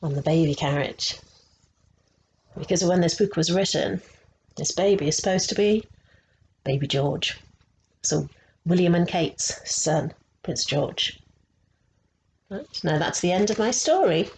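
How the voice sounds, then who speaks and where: A woman reading a picture book aloud to children, slow and expressive, stopping to ask them questions. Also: lowish voice, a little hiss or hum, poor audio. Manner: slow and expressive, asking the young listeners questions.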